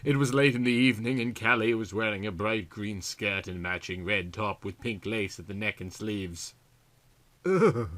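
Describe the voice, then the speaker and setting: A man's voice: lowish voice, moderate pace, a bit bright. A man reading a story aloud in a theatrical, comic style with exaggerated, melodramatic delivery. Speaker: audiobook reader.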